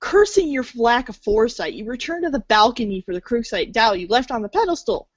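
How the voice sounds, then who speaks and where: A woman's voice: fairly high pitch, sing-song, a little fast, no echo, lo-fi sound. A woman reading scripted second-person game narration in the manner of a read-along storybook.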